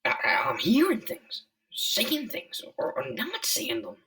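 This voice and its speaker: A man's voice: very high-pitched, wildly swooping pitch, a little slow, gravelly, bright, forceful tone, far from the mic, a little hiss or hum, pitched above the performer's own voice, heavily acted. A man voicing an ordinary, easily spooked city cab driver in a comic-book reading. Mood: flustered, panicked. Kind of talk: dramatic comic-book voice acting.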